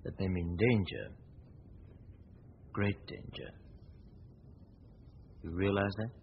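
Suave gentleman sleuth: low, measured, formal questioning, thin noisy forties radio recording. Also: vocal fry, heavily acted. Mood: serious, probing. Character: suave, probing gentleman detective, calm and concerned. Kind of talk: 1940s radio drama acting. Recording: tinny, noisy 1940s radio recording with rumble.

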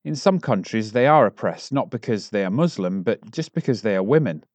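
A man reading newspaper articles aloud as a volunteer in a measured way, recorded at home. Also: on the low side, expressive, darkish tone, dry recording. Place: at home, close and dry.

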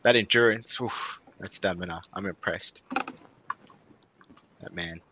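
A man's voice: low voice, a little slow, pushed and bright. A young Australian man with an Australian accent, co-hosting a podcast in a laid-back casual chat and laughing easily. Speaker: podcast co-host. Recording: faint background hiss.

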